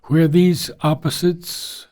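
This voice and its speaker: A man reading a philosophical text aloud in a deliberate, solemn manner, pausing between phrases. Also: fairly high pitch, flat delivery, dark tone. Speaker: reader of a spiritual philosophy book. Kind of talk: measured audiobook-style reading.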